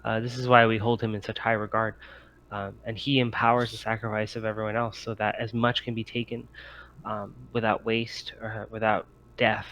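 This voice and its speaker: A man voicing a gushing, reverent cult guide in a tabletop game, earnest and halting. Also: on the low side, little pitch movement, vocal fry, fairly bright tone, slight background noise, low-quality recording.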